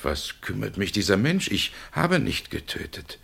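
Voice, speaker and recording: A man roused at night and accused, fearful and indignant. His voice is husky and forceful, with a trembling, halting delivery. The style is that of a 1960s German radio drama. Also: on the low side, big pitch swings, exaggerated voice. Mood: fearful, suspicious. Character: frightened, indignant man woken at night, protesting innocence.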